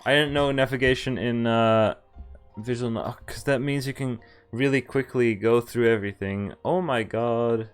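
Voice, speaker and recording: A man in the middle of his pitch range, streaming a visual novel and reading its lines aloud between excited reactions. He thinks through each choice out loud. Soft game music plays faintly behind him. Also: normal speed, smooth. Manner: excitable, thinks aloud, reads story lines in first person. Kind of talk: live game commentary and reading text aloud.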